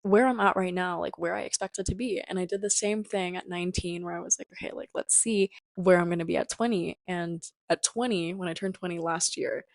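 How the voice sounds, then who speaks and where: A woman's voice: on the low side, steady tone, fairly quick, creaky, hardly any pauses, no echo. A 21-year-old woman hosting a casual, reflective solo podcast in a chatty, rambling way with lots of filler words. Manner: chatty, rambling, sprinkled with "like" and "you know". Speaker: podcast host.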